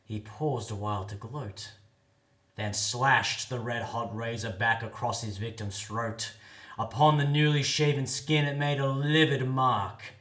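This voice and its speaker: A man giving a theatrical, expressive dramatic recitation of a comic ballad as a poetry reader. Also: mid-range voice, unhurried, reverberant.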